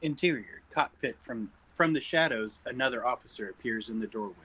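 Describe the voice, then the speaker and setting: A man with a very bright, forward voice reads screenplay stage directions at a table read. He works through scene headings and action as scripted narration. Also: medium pitch, fairly quick, husky, poor audio.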